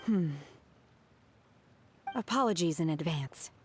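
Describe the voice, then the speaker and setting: An actress voicing an aloof, earnest young woman in a video game, calm, formal and deadpan. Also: fairly low pitch, slow pace, a little hiss or hum. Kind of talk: video game dub acting. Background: faint background music.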